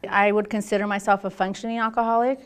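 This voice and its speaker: A middle-aged American woman answering an interview in an informal, halting, conversational way. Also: medium pitch, flat delivery, clear, resonant tone. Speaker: news interviewee.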